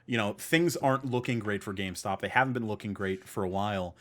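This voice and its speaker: Guy with a lowish voice hosting a podcast, casual, repeats stuff for emphasis. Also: fast talker, fairly bright tone. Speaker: podcast host.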